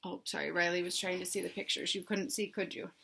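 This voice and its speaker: A woman reading a children's storybook aloud in an animated, emphatic way, pausing to put questions to young listeners. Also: fairly low pitch, gravelly, breathy edge. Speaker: read-aloud host for children.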